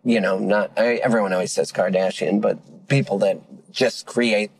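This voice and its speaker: A man's voice: lowish voice, steady tone, normal speed, vocal fry. A man co-hosting a podcast, chatting casually and playfully, tripping over words and laughing often. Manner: playful, tongue-tied wordplay, laughs often. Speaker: podcast co-host. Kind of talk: casual comedic chat.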